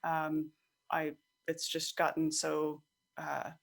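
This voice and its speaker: Casual, animated woman in an interview. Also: low voice, slow pace, gravelly. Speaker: podcast guest, software expert.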